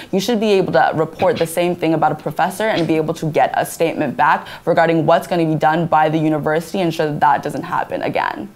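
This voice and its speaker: A young woman whose first language is Chinese, answering earnestly as a candidate in a student government debate. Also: deep voice. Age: young adult. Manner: earnest, many restarts and "I think".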